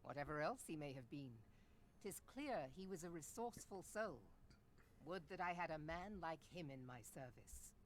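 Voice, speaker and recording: An actress playing a stern yet grateful admiral of a seafaring nation in a video game, speaking in formal, archaic, stately phrases. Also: female voice, low voice, animated, moderate pace, a little hiss or hum. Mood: solemn, grateful. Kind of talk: video game cutscene acting.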